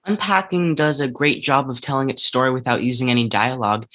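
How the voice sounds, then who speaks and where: A man's voice: mid-range voice, fairly quick, bright, forceful tone. Man reading scripted host questions. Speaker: podcast host. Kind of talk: scripted interview questions and sponsor read.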